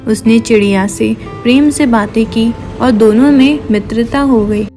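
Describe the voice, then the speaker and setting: High-voiced woman narrating a children's story. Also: a little slow, mellow. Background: background music.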